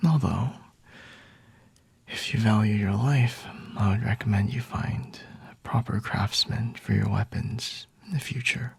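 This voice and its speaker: A man voicing a smug, flirty vampire lord in an intimate ASMR roleplay, breathy and husky, often whispering, with a teasing, condescending, refined manner. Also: fairly low pitch, steady tone, a little slow, a little hiss or hum. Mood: mocking, amused.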